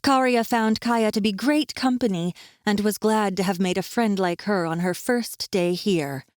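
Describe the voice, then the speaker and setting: A woman's voice: medium pitch, unhurried, no room sound, studio quality. A woman narrating a fantasy audiobook in a scripted storytelling style.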